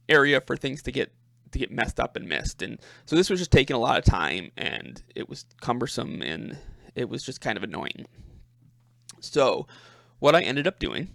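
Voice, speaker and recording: A man giving an informal screen-share walkthrough as a presenter, speaking haltingly and thinking aloud, often repeating his words. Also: medium pitch, gravelly, fairly bright tone, no room sound, studio quality. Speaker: presenter demonstrating a personal coding project.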